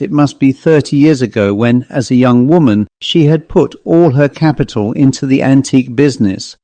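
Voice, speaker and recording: Guy reading an old ghost story, measured storyteller delivery, soft mellow voice, very dry sound. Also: medium pitch, dry recording. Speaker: audiobook narrator. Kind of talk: scripted storytelling narration of a classic ghost story.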